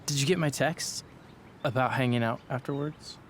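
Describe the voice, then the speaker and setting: A man's voice: mid-range voice, moderate pace, a bit bright, slight background noise, natural voice. A man playing an earnest, hopeful teenage boy, friendly and a little tentative, in a video game cutscene.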